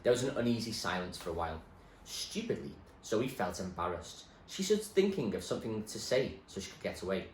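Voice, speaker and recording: A man reading a novel aloud with measured storytelling, in an echoey room. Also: lowish voice, big pitch swings. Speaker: reader. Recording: echoey room, faint noise.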